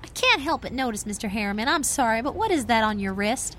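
An inquisitive young female sleuth in an old-time radio mystery spoof. Her high, bright voice moves in lively swings, eager and wry, over faint ambience. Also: fast, a little hiss or hum. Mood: probing.

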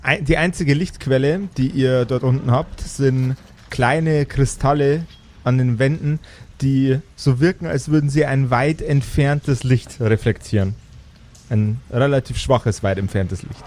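A man with a medium-pitched voice narrates a fantasy tabletop game as its game master. He phrases things theatrically and leaves deliberate pauses. Faint noise sits behind the recording. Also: a little mellow.

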